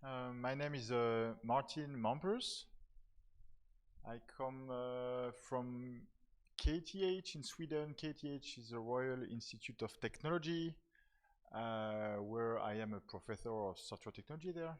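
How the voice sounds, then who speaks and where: French-born guy giving a tech conference talk, lots of uhs and restarts, average pitch. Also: unhurried. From France. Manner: hesitant, repeats words and uses many fillers. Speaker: professor of software technology. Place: conference hall.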